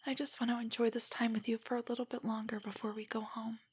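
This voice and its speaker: A young woman playing a nervous, nerdy, affectionate girlfriend in an intimate roleplay audio, speaking softly and breathily in a giggly, rambling way. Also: a little high, level tone, fast talker. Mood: tender. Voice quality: breathy, often near a whisper. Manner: rambling, giggly, hesitant, explaining trivia. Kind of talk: roleplay audio, intimate soft monologue to a listener.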